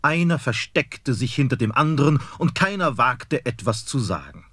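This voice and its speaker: A man narrating a fairy tale in a measured storytelling manner. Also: mid-range voice, lively intonation, fairly bright tone, slightly rough, dry recording, clean studio sound.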